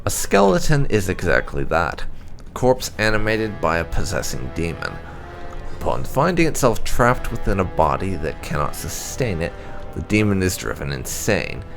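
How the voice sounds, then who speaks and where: Deep gravelly guy narrating fantasy game lore, formal and measured, music playing behind him. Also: huge pitch swings. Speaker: gaming channel host. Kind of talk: scripted narration, reading game lore entries aloud.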